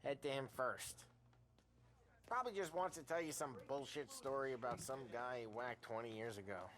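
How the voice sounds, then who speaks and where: An actor playing a wisecracking mob crew member who passes on news in an offhand way. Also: male voice, mid-range voice, moderate pace, fairly bright tone, natural voice. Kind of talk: video game cutscene acting.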